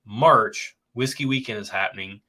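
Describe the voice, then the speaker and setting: A man's voice: mid-range voice, moderate pace, pushed and bright. A man co-hosting a casual whiskey livestream, chatty and joking, his voice stuffed up and congested.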